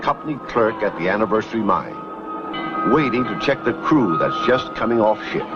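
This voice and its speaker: An American actor playing a folksy Old West ranger who introduces a true tale as a showmanlike host. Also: male voice, lowish voice, sing-song, a little fast. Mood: folksy, intriguing. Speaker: storyteller host. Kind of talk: scripted narration for a vintage western TV anthology. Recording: dated TV soundtrack, muffled, music behind.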